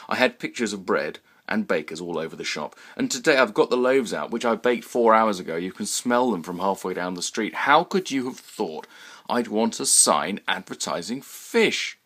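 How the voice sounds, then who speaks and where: Man as a calm, plaintive baker, low voice. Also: moderate pace. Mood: pleading reason. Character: aggrieved, polite baker keeping calm, plaintive.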